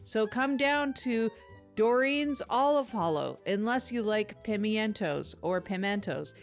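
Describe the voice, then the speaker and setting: A woman's voice: mid-range voice, animated, measured pace, faint music behind. A woman co-hosting a casual comedy podcast with dry, teasing banter. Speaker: podcast co-host.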